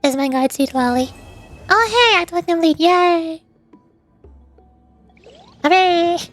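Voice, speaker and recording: A woman's voice: very high voice, lively intonation, takes it slowly, soft background music. A woman VTuber with a playful, cutesy, chatty manner, commenting on a game and reading its dialogue aloud.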